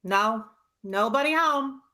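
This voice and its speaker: A woman plays a brassy, unbothered dame in an old-time radio play. She drawls out slangy wisecracks slowly in a bright, piercing voice. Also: fairly high pitch, expressive, full character voice. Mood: offhand. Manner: offhand, slangy. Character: brassy, wisecracking, indifferent woman answering the telephone. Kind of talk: vintage radio drama acting.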